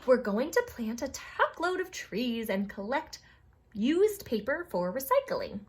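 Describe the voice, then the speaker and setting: A woman's voice: a little high, very animated, unhurried. A woman voicing a friendly, enthusiastic museum director in a children's storybook, cheerful and welcoming. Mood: eager.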